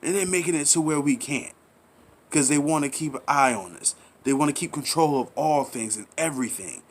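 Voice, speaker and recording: A man's voice: fairly high pitch, a little fast. A man giving a rambling, preachy monologue, repeating himself, asking rhetorical questions and urging listeners in casual slang. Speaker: commentary channel host. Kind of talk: impassioned monologue.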